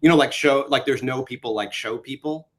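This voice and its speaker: A man with a middle-pitched voice chats casually. He tells stories animatedly, with quick asides and restarts. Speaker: co-writer of a musical. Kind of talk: casual live-stream chat.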